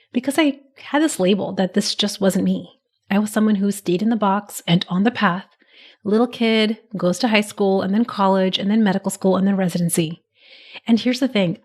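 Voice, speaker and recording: Woman in her 30s hosting a podcast solo, bit raspy from a cold, gentle encouraging coach vibe. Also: mid-range voice. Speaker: podcast host.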